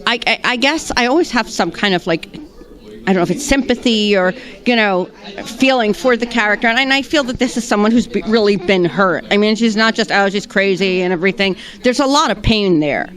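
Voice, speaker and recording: A woman actress answering red carpet questions, hesitant, with many pauses and restarts. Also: mid-range voice, expressive, a bit bright.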